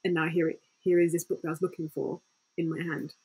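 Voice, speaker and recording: Husky, low-voiced young woman chatting. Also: level tone, quick pace, mellow, poor audio. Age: young adult. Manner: informal, peppered with "like". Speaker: panel guest, author. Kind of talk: casual storytelling chat. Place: small home room, indoors. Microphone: headset on video call.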